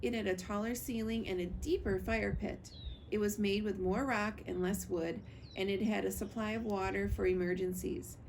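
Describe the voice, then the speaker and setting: A woman's voice: mid-range voice, steady tone, normal speed, a little mellow. A woman reading a children's story aloud as a librarian would, recorded on a screened porch. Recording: clear background noise of outdoor porch.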